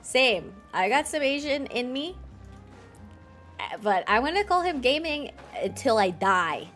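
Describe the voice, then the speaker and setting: A woman's voice: high voice, very animated, a little slow, fairly bright tone, faint music behind. A woman game streamer giving casual, playful live commentary and reading bits out.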